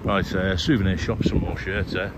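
A man's voice: deep voice, fairly even intonation, normal speed. A man, narrating a casual walking travel vlog outdoors, upbeat and talking straight to viewers. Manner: chatty, upbeat, addresses viewers directly. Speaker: travel vlogger. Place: outdoors on a seaside promenade. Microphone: handheld phone camera. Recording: loud wind and traffic noise outdoors.

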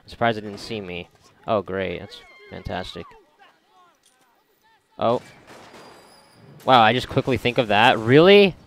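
Casting: male gamer, lowish gravelly fry, sarcastic and sweary off-the-cuff playthrough commentary. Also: measured pace, pauses often, a bit bright. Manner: sarcastic, profane, muttering to himself. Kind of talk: casual gameplay commentary.

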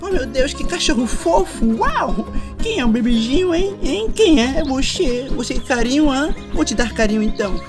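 A woman with a Brazilian accent voicing a naive, excitable young boy in a cartoon dub, gushing and exclaiming. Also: sits high, moderate pace. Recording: loud music behind voice.

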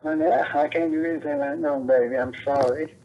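A middle-aged man on a phone call, heard through a phone speaker, halting and pleading and repeating himself. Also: on the high side, steady tone. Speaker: prank call victim on the phone. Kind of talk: phone conversation.

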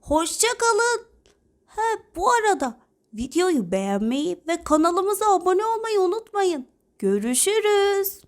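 A woman voicing a cheerful young crocodile child in a children's cartoon, excitable and childlike. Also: very high-pitched, measured pace, full character voice.

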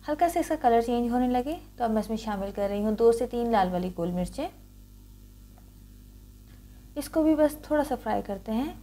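Higher-pitched woman narrating a recipe. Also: expressive, moderate pace, long pauses, dark tone. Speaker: cooking show host.

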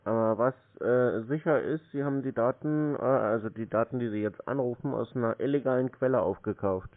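Man, scam-baiter on the phone, curt and confrontational, muffled low-fidelity call audio. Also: a little fast. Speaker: scam-baiter answering calls. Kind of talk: phone conversation.

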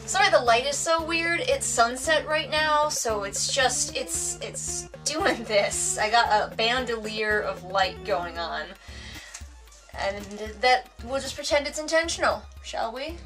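Wry, high-voiced woman with a Wisconsin accent. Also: measured pace, fairly bright tone, far from the mic, soft background music. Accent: Wisconsin American. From United States. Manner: wry, reading questions aloud then answering off the cuff. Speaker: vlogger. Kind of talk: casual question tag vlog. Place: home room with bookshelves, indoors. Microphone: camera mic at a distance.

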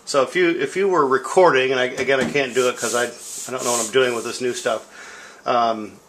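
A man's voice: mid-range voice, fairly even intonation, normal speed, background noise. Casual middle-aged man, rambling demo. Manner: rambling, self-deprecating. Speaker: tape deck seller demonstrating equipment. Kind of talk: casual, unscripted product demonstration. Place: indoor room at home. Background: music from record and tape playback.

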